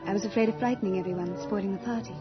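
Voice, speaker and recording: A woman's voice: mid-range voice, racing, close to an everyday voice. An actress playing Death as a gracious, beautiful young lady in a radio horror drama, genteel, delicate and wistful. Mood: sweet, coy. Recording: dull 1980s radio recording, faint music.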